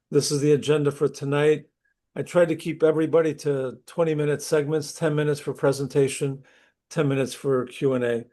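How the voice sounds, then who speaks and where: A man's voice: a little high, darkish tone. Man with a cold, chatty instructor. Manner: conversational, clears throat often, restarts sentences. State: losing his voice from a cold. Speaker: university instructor. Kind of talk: opening a class session online. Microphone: computer mic on a video call.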